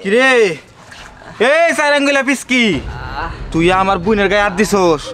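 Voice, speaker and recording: A man's voice: sits high, lively intonation, normal speed, bright, forceful tone. A man voicing a tall, domineering big brother, swaggering and threatening, in a cartoon. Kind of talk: cartoon voice acting. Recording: music behind the voice.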